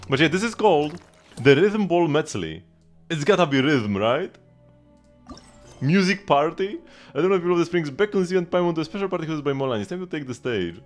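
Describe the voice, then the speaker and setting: Lively man chatting on a gaming livestream. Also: fairly high pitch, fairly quick. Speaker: live streamer. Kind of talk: casual gaming stream chat. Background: game music.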